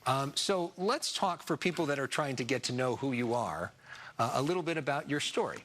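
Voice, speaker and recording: A man in his thirties, a news anchor asking brisk, direct questions in a TV studio. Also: mid-range voice, expressive, fairly bright tone, a little hiss or hum. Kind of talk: TV studio interview.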